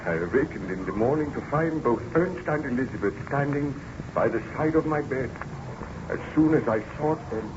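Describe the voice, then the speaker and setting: A man's voice: mid-range voice, lively intonation, moderate pace, close to an everyday voice. Haunted aristocratic male scientist, played by a man, formal and melodramatic, 1930s radio play, dull noisy recording. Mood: somber recollection. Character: anguished baron scientist, haunted by his monstrous creation. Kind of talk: 1930s radio drama acting.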